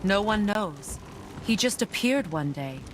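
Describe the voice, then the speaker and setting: A woman playing a wise, solemn keeper of old magic in a video game, speaking in a measured, knowing, gently instructive way. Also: medium pitch, expressive, music in the background, close to an everyday voice. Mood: solemn storytelling.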